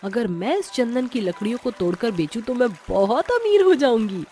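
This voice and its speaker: A woman's voice: fairly high pitch, animated, moderate pace, mellow, a little hiss or hum, natural voice. A woman playing a greedy, suspicious daughter-in-law in a dramatized moral story, speaking in an emphatic, scheming way.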